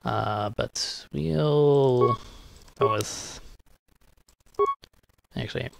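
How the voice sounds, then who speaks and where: A man's voice: mid-range voice, extremely slow, vocal fry, poor audio. A man doing casual let's-play commentary as a gaming video host, muttering to himself and reading dialogue aloud in a laid-back way. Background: game music.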